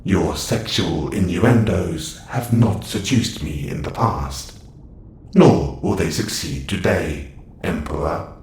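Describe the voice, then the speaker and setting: Man voicing a stern, deep, echoey robot warden. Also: lively intonation, takes it slowly, a little mellow, slight background noise, exaggerated voice. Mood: stern, disapproving. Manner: formal, clipped, scolding. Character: stern, formal male-voiced robot prison warden. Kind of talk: audio roleplay acting.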